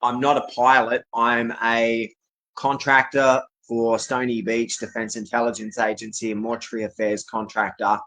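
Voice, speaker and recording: A man's voice: mid-range voice, level tone, moderate pace, sharp tone, poor audio. A man from Australia giving a rambling, insistent personal monologue to viewers, often repeating his declarations. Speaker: vlogger speaking to camera.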